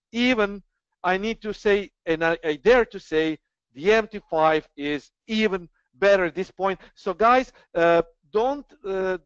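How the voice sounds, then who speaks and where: A man's voice: high voice, fairly bright tone, clean tone, no room sound. Man, webinar host, earnest and persuasive, hesitant, dull and dry online sound. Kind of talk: online trading presentation.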